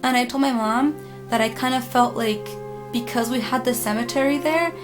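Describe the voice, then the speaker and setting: A woman telling a spooky personal story casually, in a dramatic, emphatic manner with pauses. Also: fairly high pitch. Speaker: storytime vlogger. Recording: music playing behind the voice. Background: background music.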